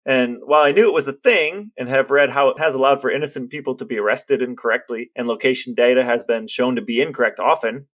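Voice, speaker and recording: An American man co-hosting a podcast, explaining things casually in a measured, matter-of-fact way. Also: mid-range voice, fairly bright tone. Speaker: podcast co-host.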